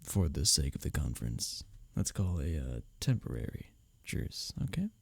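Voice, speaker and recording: A man acting an arrogant, teasing office rival who is secretly smitten, in an intimate audio roleplay, often breathy and near a whisper. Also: a bass voice, very animated, no echo, exaggerated voice. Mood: smug, teasing. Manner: smirking, teasing, halting when flustered. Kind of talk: intimate ASMR audio roleplay addressed to the listener.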